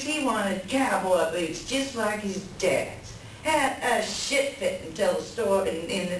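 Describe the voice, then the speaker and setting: A woman playing a world-weary, blunt mother fed up with her husband in a folksy play reading. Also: mid-range voice. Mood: exasperated. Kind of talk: staged play reading, conversational dialogue. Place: library reader's theater reading. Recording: echoey room from far off, faint noise.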